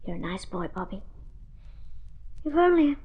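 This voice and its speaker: An actress in a mid-century radio drama playing a gentle, independent woman, polite, earnest and restrained in manner. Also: medium pitch, big pitch swings, moderate pace, breathy edge, phone-quality sound. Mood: gentle, regretful.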